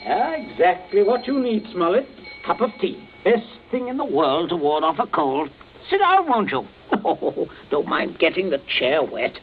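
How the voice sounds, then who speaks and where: A man's voice: high-pitched, sing-song, a little slow, heavily acted. An actor playing a middle-aged father of the house, breezy and falsely reassuring, in an old radio drama. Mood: hearty. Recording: muffled old radio recording with hiss.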